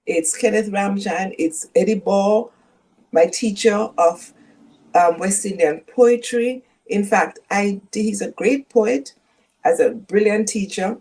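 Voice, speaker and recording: Older Jamaican woman, dark husky voice. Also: mid-range voice, rough recording. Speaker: guest professor. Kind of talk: reflective interview conversation.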